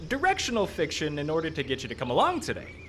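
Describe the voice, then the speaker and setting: A scheming amateur filmmaker in a live radio comedy. His pitch swoops wildly as he stammers and sells, always nervous and evasive. It is recorded outdoors, with clear background noise and birds. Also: fast talker, full character voice. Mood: sheepish, evasive. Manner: stammering, evasive, excitable salesman patter. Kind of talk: live old-time radio style comedy acting. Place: outdoor park stage. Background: birds and outdoor ambience.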